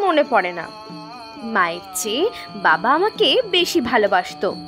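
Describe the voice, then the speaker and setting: A woman playing a young, artless, eager village servant girl in an audio story, with a girlish, expressive delivery. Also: fairly high pitch. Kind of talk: Bengali audio story acting.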